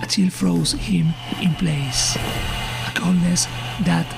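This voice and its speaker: Slow, creaky male horror narrator. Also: fairly high pitch, very animated, music in the background, poor audio. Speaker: story narrator.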